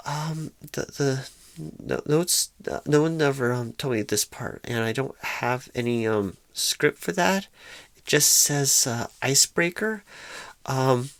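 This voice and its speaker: Woman acting as a painfully shy, slow-talking nervous recruiter. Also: extremely low pitch, no room sound, full character voice. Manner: bashful, halting, stammering, awkward and unsure. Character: painfully shy, nervous, inexperienced job recruiter on first day. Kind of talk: soft-spoken ASMR roleplay.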